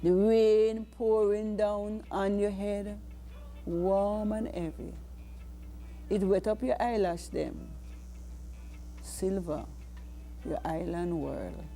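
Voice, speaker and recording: A woman with a Jamaican accent reciting spoken-word poetry in a deliberate, dramatic way. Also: mid-range voice, warm tone, soft background music. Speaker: poet.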